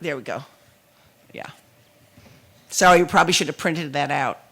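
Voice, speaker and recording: A man moderating a question session, brisk and a bit apologetic. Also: a little high, creaky, pushed and bright.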